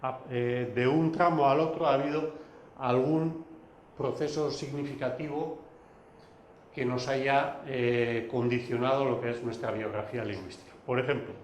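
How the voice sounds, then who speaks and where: A man's voice: medium pitch, steady tone, moderate pace, slight background noise. Hesitant man lecturing in an echoey room. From Basque Country. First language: Basque. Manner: hesitant, many fillers and restarts. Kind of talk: recorded video lecture.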